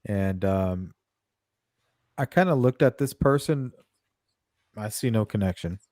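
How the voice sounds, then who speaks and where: A woman's voice: a bass voice, expressive, slow, mellow, dry recording, clean studio sound. A woman hosting a casual livestream chat, thinking aloud as she goes. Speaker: host.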